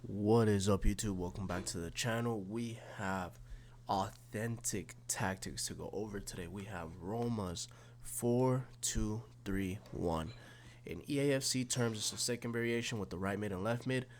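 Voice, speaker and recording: A man with a slightly raspy, snuffly voice from being sick, casually walking through football game tactics with lots of fillers and restarts. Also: lowish voice, normal speed, a little hiss or hum. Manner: rambling, many fillers and restarts. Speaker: gaming YouTuber.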